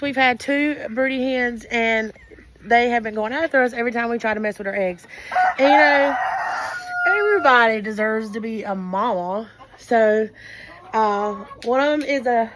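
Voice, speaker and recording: A woman talks in a casual, rambling way, full of small fillers, and her voice is hoarse and fading. Her voice sits a little high. She is recorded outdoors by a chicken coop, with hens clucking and a rooster crowing clearly behind her. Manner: rambling, chatty, many "uh" fillers. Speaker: backyard chicken keeper. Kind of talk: casual vlog chat. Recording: noisy outdoor farmyard recording.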